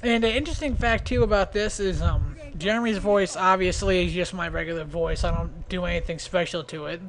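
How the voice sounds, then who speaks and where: Male amateur commentator, slightly high pitch, casual unscripted delivery, self-deprecating and grumbly, slightly noisy home recording. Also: fairly quick, a bit bright. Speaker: creator of an abridged parody series. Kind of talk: casual off-the-cuff episode commentary.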